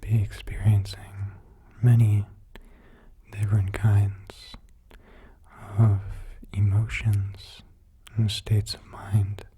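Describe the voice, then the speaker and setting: Very slow, breathy whispering man. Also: lowish voice, completely flat delivery. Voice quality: breathy, hushed, mostly whispered. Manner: slow, soothing delivery with short halting phrases. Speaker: ASMR meditation guide. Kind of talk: soft-spoken ASMR guided meditation.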